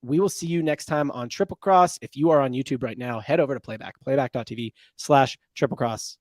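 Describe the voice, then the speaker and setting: Guy hosting a sports podcast, middle pitch, rambly, lots of uhs, dry close mic. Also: fairly quick, dry recording. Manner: loose, rambling delivery with many fillers and restarts. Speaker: podcast host. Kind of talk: casual sports podcast chat.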